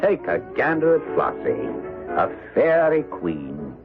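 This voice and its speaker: Wealthy old miser father, emphatic and highly animated, dull radio-drama recording. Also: takes it slowly, over music, exaggerated voice. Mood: jovial, bossy. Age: middle-aged. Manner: emphatic, blustering. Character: wealthy old miser father, blustering host, secretly fearful.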